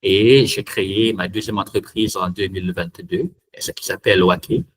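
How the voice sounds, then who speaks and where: A man's voice: low-pitched, fairly even intonation, normal speed. A man from Cameroon hosting a live online tech meetup, talking hesitantly with many fillers and restarts. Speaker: meetup host and trainer.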